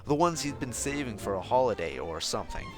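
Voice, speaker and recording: A man reads a story aloud as an expressive narrator. His voice sits at an average pitch for a man, and music plays behind it. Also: brisk. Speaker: fan fiction reader. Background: background music.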